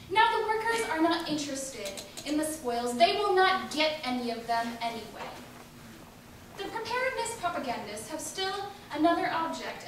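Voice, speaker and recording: A woman's voice: high-pitched, a bit bright. A young woman giving an emphatic, dramatic reading of a political speech. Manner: emphatic oratory. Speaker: reader of historical speeches. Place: large indoor hall at a live event. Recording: very echoey hall, distant mic, faint noise.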